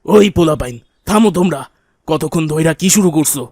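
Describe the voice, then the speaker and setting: A man's voice: on the high side, fairly quick, darkish tone, no echo, lightly acted. A man voicing a poor old cartoon villager, a petty thief with rustic, colloquial Bangladeshi Bengali and a plaintive manner. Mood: defensive, indignant. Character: poor old man, shoe thief in pious dress, defensive then pleading. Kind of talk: cartoon voice acting.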